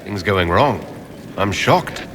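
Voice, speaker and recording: A man's voice: sits low, lively intonation, slow, a bit bright, slight background noise, full character voice. An actor playing a cold, haughty male fantasy leader in a video game, with clipped, dry delivery.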